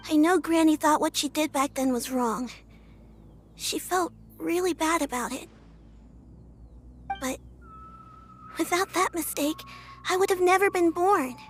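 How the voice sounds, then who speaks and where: A woman's voice: very high-pitched, a little slow, long pauses, soft background music. A woman playing an earnest, polite, shy young girl in a video game, speaking hesitantly. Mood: earnest, wistful.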